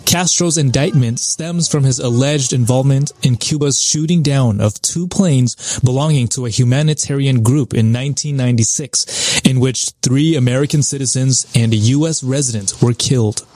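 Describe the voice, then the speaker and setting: A male news reporter with an average-pitched voice. He reads scripted narration for a news package. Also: normal speed, a little mellow, no room sound.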